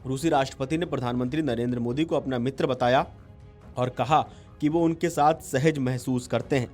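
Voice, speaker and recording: A man's voice: medium pitch, a little mellow. A man reading a scripted news voice-over in a formal manner. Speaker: news narrator. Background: background music.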